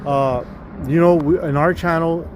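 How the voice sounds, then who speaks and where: A man from Dallas hosts a live street interview in a slightly high voice. He asks simple, friendly questions with fillers, and heavy traffic noise fills the outdoor air. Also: steady tone, unhurried. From Dallas, Texas. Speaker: street interviewer, channel host. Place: outdoors by a busy road.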